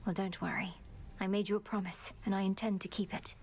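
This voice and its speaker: A woman playing an earnest young underworld princess in a video game, speaking formally and with measured courtesy. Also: medium pitch, little pitch movement, slight background noise, lightly acted. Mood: gentle, sincere. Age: young adult.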